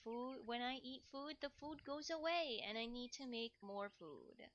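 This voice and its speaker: A woman's voice: a little high, expressive, a little slow, a bit bright, lo-fi sound. A woman chatting casually to livestream viewers in a playful, rambling way, often repeating short phrases and slipping into cutesy broken grammar. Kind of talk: casual livestream chat while cooking.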